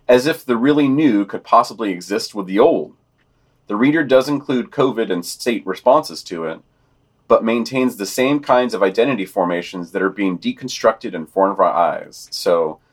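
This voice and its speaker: A man with a slightly low-pitched voice co-hosts a podcast news digest. He reads prepared summaries and quotations, adding commentary in a wry, sardonic manner. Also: animated, moderate pace.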